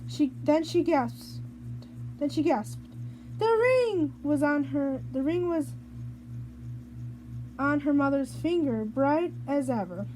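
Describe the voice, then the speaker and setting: A woman reading a children's picture book aloud in a halting way, stumbling and rereading phrases. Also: high-pitched, lively intonation, dark tone, faint music behind, low-quality recording. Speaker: storybook reader.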